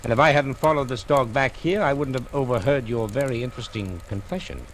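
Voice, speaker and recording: An actor playing a commanding, dryly triumphant police detective in 1940s radio style. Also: male voice, medium pitch, brisk, lightly acted. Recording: noisy 1940s radio recording.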